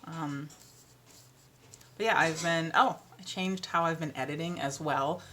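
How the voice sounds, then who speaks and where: A young woman with a deeper voice, chatting casually and self-deprecatingly about narrating audiobooks, full of ums and little laughs. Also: a little slow, fairly bright tone, slight background noise. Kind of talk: casual chat.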